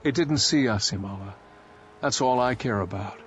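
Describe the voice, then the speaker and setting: A man's voice: medium pitch, normal speed, highs cut off, soft background music, close to an everyday voice. A man reading aloud voicing a young male soldier who is blunt and dismissive. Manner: dismissive, matter-of-fact.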